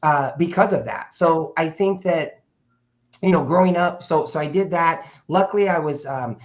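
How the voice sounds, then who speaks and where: A hoarse, raspy-voiced man in his fifties from Portland, Oregon, talking as a podcast guest. He rambles through his story with lots of fillers and restarts. The audio is muffled and lo-fi. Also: fairly high pitch, moderate pace. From Portland, Oregon, USA. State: hoarse from speaking over the weekend. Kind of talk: casual conversational storytelling. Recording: dull lo-fi audio.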